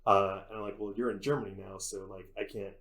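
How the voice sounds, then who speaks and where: Low-voiced man telling a rambling anecdote. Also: fairly even intonation, fairly quick, a bit bright. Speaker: faculty advisor, interview guest. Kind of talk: casual anecdote in an interview.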